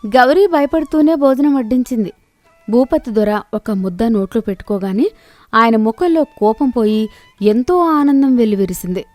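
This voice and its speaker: A woman narrating a children's moral story in a steady, clear storytelling manner. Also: on the high side, moderate pace, dry recording, soft background music.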